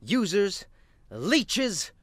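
An actor playing an arrogant, lonely wealthy executive in a radio drama, sneering and bitter. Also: male voice, high-pitched, very animated, very slow, dry recording, studio quality, heavily acted. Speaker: rich executive character.

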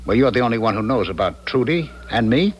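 A man's voice: medium pitch, expressive, quick pace, lightly acted. An American actor in a 1970s radio drama playing a paranoid, coldly menacing businessman with pointed, insistent questioning. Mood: accusing. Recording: noisy 1970s radio broadcast recording.

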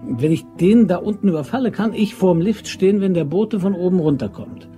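An actor playing a shifty, smooth-talking man who plots a robbery, speaking casually and tersely in a German crime radio play. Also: sits high, a little fast, mellow, soft background music, natural voice. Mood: matter-of-fact.

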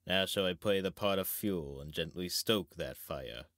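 A man playing a wise, grave old knight and mentor in a measured, theatrical Shakespearean reading. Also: low voice, a bit bright, dry recording, clean studio sound. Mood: thoughtful.

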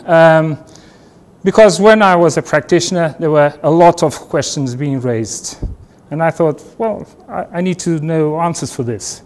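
A middle-aged man lecturing with a Portuguese accent, his voice sitting a bit high for a man. He talks in an unhurried, self-deprecating way, with light laughs between points, in a big lecture hall. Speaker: guest lecturer. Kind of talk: university lecture.

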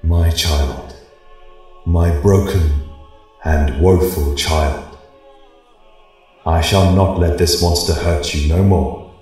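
A man voicing a solemn, commanding male god who makes slow, grandiose pronouncements in a storytelling podcast. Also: a bass voice, very echoey, far from the mic, pitched below the performer's own voice.

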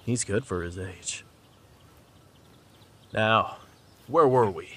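A man playing a cocky, excitable young swordsman in an audio drama, speaking emphatically. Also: on the low side, takes it slowly, breathy edge, exaggerated voice. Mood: cocky, taunting.

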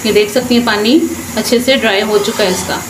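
A woman's voice: on the high side, steady tone, brisk. A woman hosting a home cooking channel, explaining each step in a chatty, instructive way and often adding short blessings. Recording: echoey kitchen with frying noise. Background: frying and stirring sounds.

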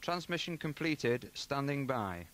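A man's voice: mid-range voice, lively intonation, unhurried, a bit bright. Man reading short scripted meanings for a training tape, medium voice. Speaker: announcer on an instructional tape.

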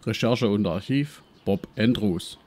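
Self-important professor played by a man, low-pitched, Swabian accent, brash and shady, for a comic detective audio drama. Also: a little slow, a little mellow. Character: brash, shady male history professor and treasure hunter. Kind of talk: parody detective radio play.

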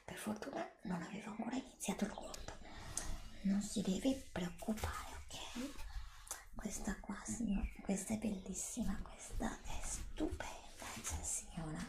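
A woman's voice: medium pitch, unhurried, vocal fry, roomy sound, low-quality recording. A middle-aged woman whispers an ASMR roleplay as a polite, reassuring shop assistant serving a customer. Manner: polite, attentive, reassuring, formally addressing a customer.

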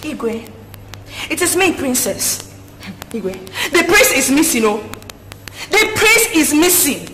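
High-pitched, haughty, raging Nigerian princess. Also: female voice, sing-song, slow, long pauses, exaggerated voice. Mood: urgent, alarmed. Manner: scolding, insulting, imperious. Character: haughty, hot-tempered princess hunting for her missing prince. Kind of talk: melodramatic film acting. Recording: echoey room, noisy location sound.

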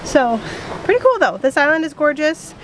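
A woman's voice: sits high, big pitch swings, moderate pace. A woman in her thirties chatting casually and a little loosely to a camera held close, out in an open sailboat cockpit. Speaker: sailing vlogger. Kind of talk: casual vlog update to camera. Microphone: camera at arm's length. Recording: clear wind and boat noise.

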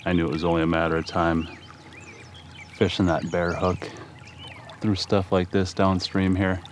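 A man's voice: low voice, a little hiss or hum. A man with a Minnesotan accent chatting casually to viewers while fishing from a kayak on a river, recorded on an action camera. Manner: relaxed, chatty, talks to viewers. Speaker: fishing vlogger.

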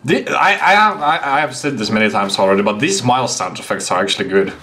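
A man's voice: mid-range voice, lively intonation, quick pace, bright, forceful tone. A man in his twenties giving casual, exclamatory live gameplay commentary and talking to his chat. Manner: reactive, exclamatory, talks to chat. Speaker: game streamer. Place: small home room, indoors. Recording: clear background game noise.

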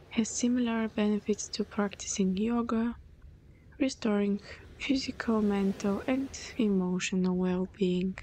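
A woman leading a guided meditation in a soothing, breathy voice that often softens almost to a whisper. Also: medium pitch, rough recording. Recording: clear background noise, ambient sound.

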